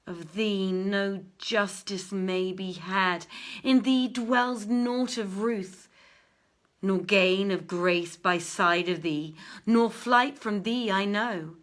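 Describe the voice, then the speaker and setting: A woman's voice: mid-range voice, a bit bright, voice pushed up. Woman as a plaintive, lovelorn young merchant. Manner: plaintive, declamatory. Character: sorrowful lovelorn young male merchant, humble, secretive. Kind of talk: dramatic storybook reading of archaic verse and dialogue.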